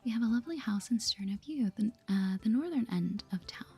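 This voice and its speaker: A woman whispering soft-spoken ASMR commentary over a video game, chatty and gentle, reading dialogue aloud in a breathy voice. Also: a little high, expressive, a little fast. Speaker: gaming video host. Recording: soft music behind the voice.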